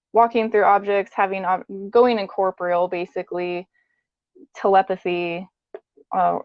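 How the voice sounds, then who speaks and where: Woman guest telling her own story casually, matter-of-fact, mid pitch, dull lofi dry sound. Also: no room sound. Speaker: interview guest. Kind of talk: conversational personal account.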